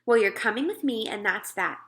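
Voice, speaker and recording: A bright, lively storybook mother, played by a woman reader, upbeat and insistent as she coaxes her son. Her pitch lifts and swings widely. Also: fairly quick. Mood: firm.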